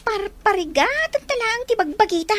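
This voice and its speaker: A woman as a very high, whiny schoolgirl. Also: lively intonation, a little fast, fairly bright tone. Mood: sulky. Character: lazy, whining teenage schoolgirl daughter. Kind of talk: Filipino radio drama acting. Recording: background noise and ambience.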